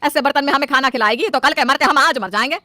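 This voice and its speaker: Woman doing a naggy bossy saas in a family story, high pitched and sharp, scolds everyone. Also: fast talker, no echo. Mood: critical. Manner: scolding, demanding. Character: bossy, fault-finding, stingy middle-aged Indian mother-in-law.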